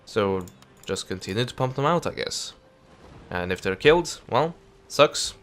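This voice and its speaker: A man's voice: medium pitch, big pitch swings, unhurried, fairly bright tone. A young man with a stuffy nose and nasal voice, chatting casually while commenting on a strategy game. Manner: chatty, muttering to the game, mild swearing. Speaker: gameplay commentator. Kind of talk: let's play commentary.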